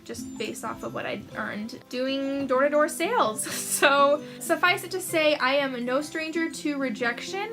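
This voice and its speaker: Young woman, American accent, high-pitched, casual storytelling, frequent laughs. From United States. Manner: chatty, laughs often, frequent "like". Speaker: author vlogger.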